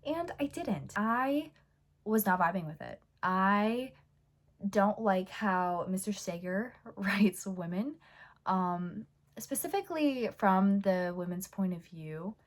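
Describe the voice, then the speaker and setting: A woman with a hoarse voice that she is losing, talking about her reading in a loose, rambling way. She keeps adding fillers, restarting sentences and trailing off. Her pitch sits in an ordinary middle range. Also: expressive, slow. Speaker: book vlogger. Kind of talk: casual chat.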